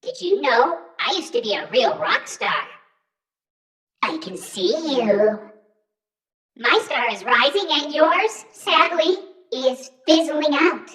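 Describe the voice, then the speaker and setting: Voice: sits high, bright, forceful tone, echoey room, exaggerated voice, low-quality recording. An actor voicing a vain, menacing animatronic rock star in a video game, haughty, showy and theatrical. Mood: smug.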